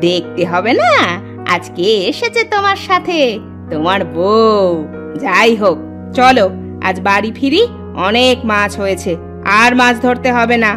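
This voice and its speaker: Casting: actress as scolding cartoon village mother, scornful and bossy, highly animated delivery on the high side. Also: unhurried, music in the background. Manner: nagging, scornful. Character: sharp-tongued, scolding village wife and mother. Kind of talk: exaggerated Bengali cartoon voice acting.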